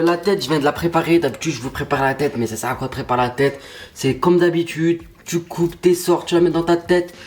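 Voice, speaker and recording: A man's voice: on the high side, a little hiss or hum. Slangy guy with a cold. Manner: slangy, pumped-up, talks straight to viewers. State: stuffy nose, has a cold. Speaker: YouTuber. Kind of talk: casual vlog chat.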